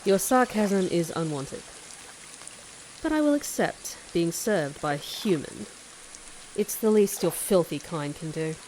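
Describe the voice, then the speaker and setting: A woman's voice: lowish voice, animated, a little slow, darkish tone, a little hiss or hum. A woman voicing a proud, haughty young werewolf pack beta in an audio drama, speaking in a curt, formal, disdainful manner. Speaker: voice actor in an audio roleplay. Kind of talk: scripted audio drama acting.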